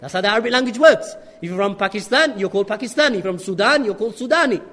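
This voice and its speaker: A man preaching an earnest sermon with rhetorical questions and quick Arabic recitation. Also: high voice, animated, a bit bright, in a large hall. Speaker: Islamic preacher.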